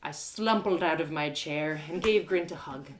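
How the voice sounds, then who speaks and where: A woman's voice: low-pitched, normal speed, slight background noise. A woman storyteller narrating a first-person tale in an emotive, theatrical way. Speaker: storyteller, audio drama author-performer.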